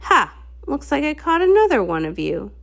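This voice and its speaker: Woman acting a low, sneering pony sorceress villain. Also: very animated, moderate pace, gravelly, heavily acted. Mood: gloating. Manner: sneering, taunting. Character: haughty, spiteful villainous pony sorceress, female.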